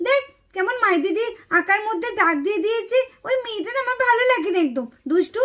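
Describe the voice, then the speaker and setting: A woman's voice: very high-pitched, lively intonation, fast talker, a bit bright. A woman voicing a resentful, grumbling, vengeful child in a puppet-show cartoon. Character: resentful gossiping children siding with their big sister.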